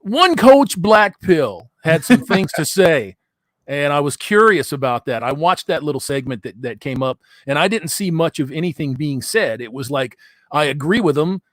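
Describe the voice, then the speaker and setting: Middle-aged male podcast host, blunt and crude, slightly high voice, dry close sound. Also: lively intonation, normal speed, a bit bright, no echo. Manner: blunt, profane, laughs often. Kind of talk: casual call-in chat.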